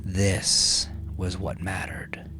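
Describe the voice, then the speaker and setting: A man narrating dramatic fiction from a script in a measured, solemn manner, with hushed phrase endings. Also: low-pitched, level tone. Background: music.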